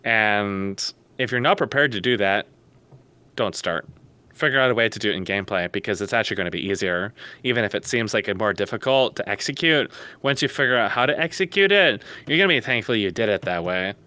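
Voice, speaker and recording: A man's voice: on the low side, expressive, brisk, sharp tone, clean tone, no room sound. A man in a casual opinionated discussion on an online voice call, rambling, blunt and emphatic. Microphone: close mic on an online voice call.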